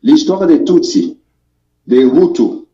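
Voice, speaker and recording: A Congolese man calling in to a show, giving his opinion in a measured, explanatory and emphatic way. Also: on the high side, sing-song, mellow. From Democratic Republic of the Congo. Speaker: caller. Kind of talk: earnest opinion on a call-in show. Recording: muffled distant echoey phone call.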